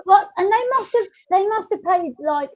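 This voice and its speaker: A woman with a very high voice hosts a casual podcast conversation. She is agreeable and often chimes in briefly. The recording sounds dull and lo-fi. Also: normal speed, a little mellow. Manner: agreeable, frequent short interjections. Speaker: podcast host.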